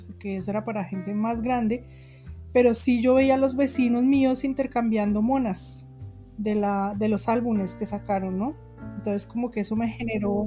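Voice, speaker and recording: Chatty Colombian woman with a mellow voice. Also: a little high. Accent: Bogotá Colombian Spanish. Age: 40s. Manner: chatty, laughs often, many fillers. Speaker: guest writer. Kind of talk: casual interview conversation.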